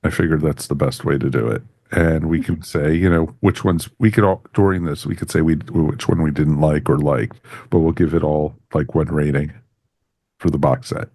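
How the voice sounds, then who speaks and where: A man's voice: a bass voice, steady tone, normal speed, mellow, no room sound. A man hosting a podcast, giving a casual review in a rambling way that often circles back on phrases. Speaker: podcast host.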